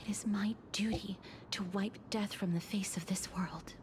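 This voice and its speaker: An actress voicing a lonely, solemn, millennium-old woman of great power in a soft, breathy, measured game performance. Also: mid-range voice, steady tone, natural voice. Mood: solemn resolve. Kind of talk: dramatic video game voice acting. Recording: game audio with clear background noise.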